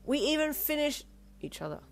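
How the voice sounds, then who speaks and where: A woman voicing a feisty, determined young woman in an acted audio story, emphatic and quick to snap. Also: high voice, pitched above the performer's own voice. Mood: excited. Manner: emphatic, excitable.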